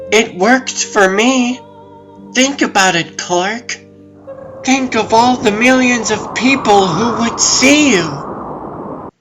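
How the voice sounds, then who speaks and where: A man's voice: high voice, pushed and bright, heavily acted. A man voicing a confident, ambitious woman reporter in a comic book dub, with an insistent, emphatic delivery. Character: confident, ambitious adult woman reporter arguing her case.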